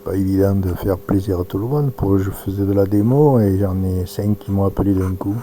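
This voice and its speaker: Male demonstrator, dark low voice, halting think-aloud commentary, slight hum behind. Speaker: presenter demonstrating amateur radio software. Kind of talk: informal live demonstration commentary.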